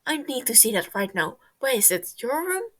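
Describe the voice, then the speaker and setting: A woman's voice: fairly high pitch, big pitch swings, moderate pace, breathy edge. A woman voicing a brash, foul-mouthed sixteen-year-old boy in an audio story, blustering and tripping over words. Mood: excited. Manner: swearing, blustering, stumbling over words in agitation.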